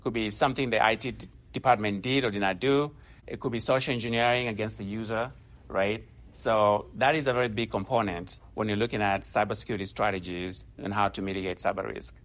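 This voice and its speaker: A man's voice: lowish voice, steady tone, brisk, a bit bright. A man in his forties, originally from Kenya, giving a conversational conference talk from the stage, often restarting phrases and using fillers. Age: 40s. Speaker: conference speaker. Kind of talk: conference presentation.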